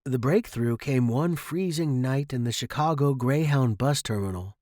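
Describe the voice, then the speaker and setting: A man's voice: medium pitch, moderate pace, darkish tone, dry recording, clean studio sound. A man reading a first-person short story dramatically, as its narrator.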